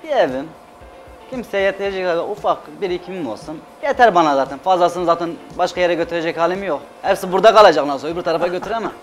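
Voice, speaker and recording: Emphatic man chatting, highish voice over music. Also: fast talker. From Adana, Turkey. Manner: emphatic, repeats words for stress. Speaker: chef and restaurant owner, guest. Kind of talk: casual interview talk. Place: TV studio kitchen set. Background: background music.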